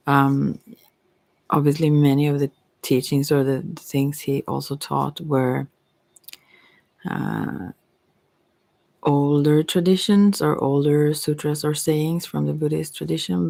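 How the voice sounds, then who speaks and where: Creaky asmr host, androgynous, close dry sound, kinda rambling and thoughtful. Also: medium pitch, a little mellow, no room sound. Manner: hesitant, meandering, reflective. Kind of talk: ASMR, rambling personal talk.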